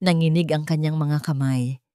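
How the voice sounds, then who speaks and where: Low-pitched Filipino woman narrating a story. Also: steady tone, normal speed, mellow, clean tone, dry recording, clean studio sound. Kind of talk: scripted dramatic story narration.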